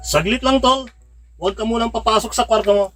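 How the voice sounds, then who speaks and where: A man's voice: very high-pitched, fairly quick, a bit bright, soft background music. A man with a Filipino accent acting a possessive, demanding young twin brother in a melodramatic Tagalog radio drama. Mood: insistent, desperate. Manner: insistent, melodramatic.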